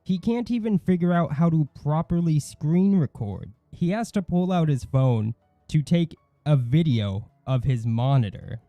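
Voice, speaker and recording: A man with a very dark, mellow voice delivers scripted online commentary. His delivery is snide and mocking, and the sound is dry and close. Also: fairly high pitch, no echo. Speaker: YouTube channel host.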